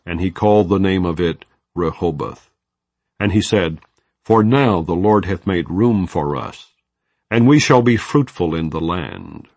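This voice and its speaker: A man giving a measured, solemn reading of scripture, recorded in a dry studio. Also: deep voice, lively intonation, darkish tone, dry recording. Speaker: Bible reader.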